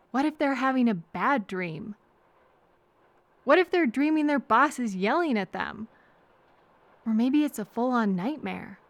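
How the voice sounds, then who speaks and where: A woman playing a kind, anxious, chatty traveler who gently overthinks and thinks aloud in a hesitant, earnest audio roleplay. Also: a little high, no room sound. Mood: worried.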